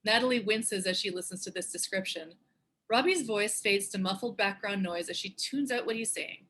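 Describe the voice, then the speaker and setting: A woman's voice: mid-range voice, moderate pace, fairly bright tone. A woman reading screenplay stage directions as scripted narration.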